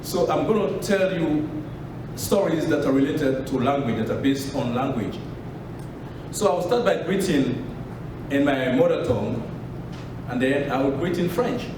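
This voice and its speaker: Echoey Sierra Leonean man speaking from afar. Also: animated. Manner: measured, pausing, drops low at phrase ends. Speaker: author at a book launch. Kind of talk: live storytelling to an audience. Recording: echoey room from a distance with noise.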